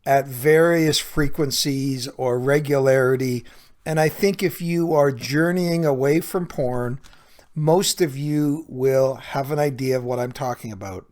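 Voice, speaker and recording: Male podcast host and coach, slightly high voice, conversational, earnest, explanatory delivery. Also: darkish tone. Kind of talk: conversational solo podcast talk.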